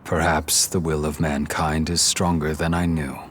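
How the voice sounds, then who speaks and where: An actor voicing a stern, guarded young warrior in a video game, speaking in a blunt, clipped way. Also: male voice, very deep voice, level tone, normal speed, a little hiss or hum. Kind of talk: video game cutscene voice acting. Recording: game audio with music behind.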